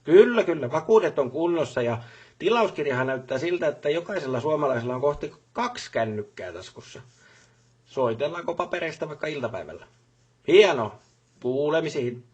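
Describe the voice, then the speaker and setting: A man's voice: fairly high pitch, animated, a little mellow, breathy edge. A man playing a busy, businesslike factory boss in a video game, talking colloquially and repeating short affirmations. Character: busy, brisk male factory boss on the job. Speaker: voice actor for a game character. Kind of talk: game voice lines, phone calls and workplace speeches.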